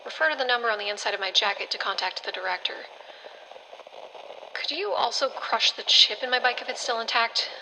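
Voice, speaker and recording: A businesslike female advisor in an audio drama. Her voice is crisp-edged and bright, dry and matter-of-fact, with faint ambient noise. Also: fairly high pitch, expressive, brisk, low-quality recording. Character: cool, blunt, businesslike woman team advisor.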